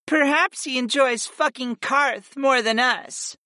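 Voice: mid-range voice, big pitch swings, measured pace, a bit bright, no room sound, studio quality, pitched above the performer's own voice. A narrator voicing female goddesses in a fantasy audiobook, murmuring, coaxing and pouting in a sultry way. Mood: sulky.

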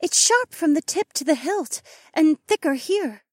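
A woman's voice: high voice, lively intonation, a little slow, a little mellow, dry recording, clean studio sound. A woman playing an observant female companion in a fantasy audiobook. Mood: matter-of-fact. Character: sharp-eyed female companion examining evidence. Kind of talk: acted audiobook dialogue.

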